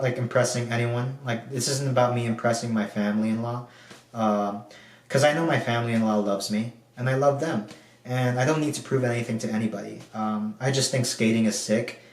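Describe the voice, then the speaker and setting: A man's voice: medium pitch, recorded from a distance. A young man from the Philippines talking through his personal goals in a casual video diary, rambling with lots of fillers. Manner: relaxed, rambling, frequent um and like fillers. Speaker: video diarist. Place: room at home. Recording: echoey room.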